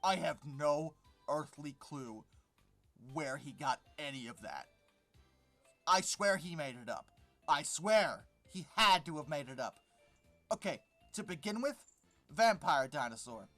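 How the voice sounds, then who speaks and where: A YouTube host giving sardonic, exasperated reaction commentary while reading an article aloud, with halting, emphatic repetition. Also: mid-range voice, lively intonation, fairly bright tone, soft background music.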